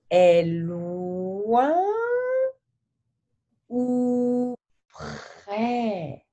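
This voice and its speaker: A middle-aged woman hosting an interview over a video call, asking simple questions in slow, theatrical teacher-style speech. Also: mid-range voice, mellow. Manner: theatrical, exaggerated questions, gestures broadly. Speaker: host interviewing a colleague. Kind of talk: slow, simple French for learners. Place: home office, indoors, virtual background. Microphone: webcam on a video call.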